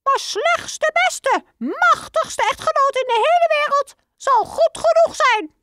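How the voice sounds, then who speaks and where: A woman voicing a fussy father mouse in a children's storybook, squeaking between phrases. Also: lively intonation, a little slow, very noisy. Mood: earnest.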